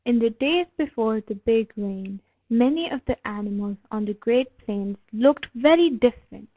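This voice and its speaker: A woman reading a children's picture book aloud in an expressive storytelling style. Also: a little high, dry recording. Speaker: storybook reader. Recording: phone-like thin band, dry.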